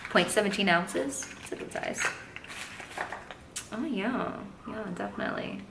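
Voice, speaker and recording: A woman in her thirties chats her way through an unboxing, rambling along with playful asides. Her pitch sits in the middle of the range, and the room around her rings with echo under a faint hiss of background noise. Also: unhurried, gravelly. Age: 30s. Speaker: beauty vlogger. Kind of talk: casual unboxing chat.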